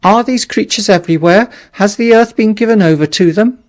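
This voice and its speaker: A man's voice: high voice, fairly quick, pitched above the performer's own voice, natural voice. A man voicing a distraught, half-mad curate who wails and preaches doom in an audiobook story. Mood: frightened. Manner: frantic, wailing, biblical preaching.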